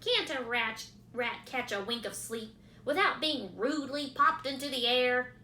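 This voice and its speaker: A grumpy, lazy rat voiced by a woman reading aloud grumbles loudly with sarcastic swoops in his voice. He sneers and mocks rather than helps. The sound has an echoey room around it. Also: sits high, lively intonation, unhurried, slight background noise, pitched above the performer's own voice, full character voice. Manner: sneering, mocking, gruff.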